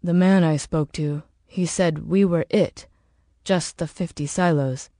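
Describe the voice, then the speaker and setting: A woman's voice: sits low, steady tone, a little slow, warm tone, no echo, clean studio sound. A woman voicing a troubled, disbelieving man who asks questions in an audiobook. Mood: uneasy, probing. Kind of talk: audiobook character dialogue.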